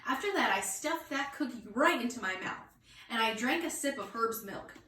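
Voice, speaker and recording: A woman with a fairly high voice reads a children's story aloud as a teacher would. Her delivery is expressive. She sounds a little away from the mic in an echoey room. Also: moderate pace. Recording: roomy echo, distant mic.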